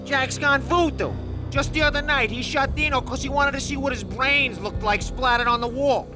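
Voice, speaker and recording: A man's voice: very high-pitched, moderate pace, music in the background. An actor playing a hot-tempered, panicky male mobster with a whiny, blustering manner. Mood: anxious.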